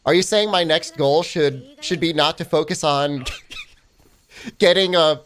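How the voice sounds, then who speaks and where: A man's voice: a little high, unhurried, a bit bright. A young man with a phlegmy cold voice, chatting casually with his stream while he plays, full of "I mean" and "like". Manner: rambling, lots of "I mean" and "like". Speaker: speedrun streamer.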